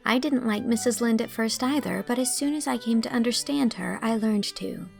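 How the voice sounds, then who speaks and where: A woman voicing an earnest, dreamy young woman in a storybook, with an expressive, gently scolding manner. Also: a little high, moderate pace, creaky, faint music behind, voice pushed up. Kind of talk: audiobook character acting.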